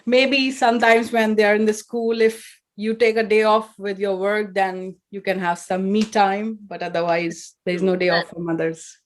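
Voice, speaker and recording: A woman's voice: medium pitch, fairly even intonation, normal speed, hardly any pauses. A woman hosting a casual interview, friendly and agreeable in simple phrasing. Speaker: host.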